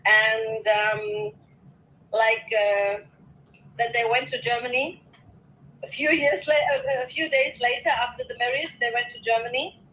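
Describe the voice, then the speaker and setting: A woman from Bosnia, a guest on a remote call from her bed at night, talking casually with many pauses and fillers. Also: fairly high pitch, dull audio, a little hiss or hum. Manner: hesitant, laughs often, many fillers. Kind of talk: casual conversation.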